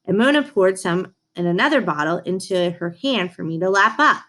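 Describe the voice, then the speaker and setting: A woman reading a storybook aloud to young listeners in an expressive, direct way, as a read-aloud host. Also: on the low side, normal speed.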